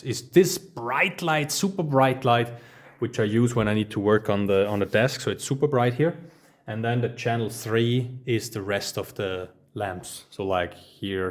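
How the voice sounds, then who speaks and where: German-accented man teaching smart home lighting. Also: medium pitch. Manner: enthusiastic, casual, addresses viewers as guys. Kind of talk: hands-on tutorial walkthrough. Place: office studio room, indoors. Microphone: phone camera held while walking.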